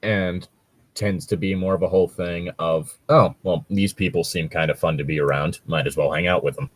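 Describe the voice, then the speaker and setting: A man giving casual, conversational commentary in a halting way, with lots of filler words and some swearing. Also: low voice, steady tone, dry recording. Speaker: podcast host reacting to read-aloud Reddit posts.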